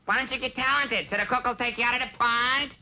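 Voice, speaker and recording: A woman voicing a brisk, streetwise pond duck in an old cartoon, speaking in slang. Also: on the high side, steady tone, bright, forceful tone. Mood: matter-of-fact. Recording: noisy old TV cartoon soundtrack.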